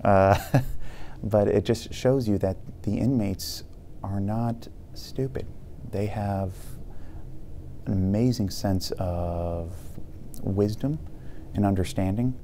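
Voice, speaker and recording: A man answering in an interview, pausing between phrases. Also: on the low side, warm tone, a little hiss or hum.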